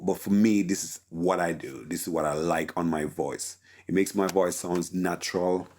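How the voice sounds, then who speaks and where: Deep-voiced man explaining mixing settings. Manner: relaxed, filler-heavy explaining with frequent "okay?". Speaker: mixing engineer teaching a tutorial. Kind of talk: casual screen-recorded tutorial.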